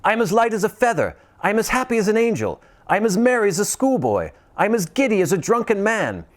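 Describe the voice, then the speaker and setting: An old miser bursting with joy, his voice climbing high and tumbling out in excited cries. A man acts him out in a storybook reading. The delivery swings widely in pitch. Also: fast talker. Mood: giddy. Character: overjoyed old miser man, giddy and reformed.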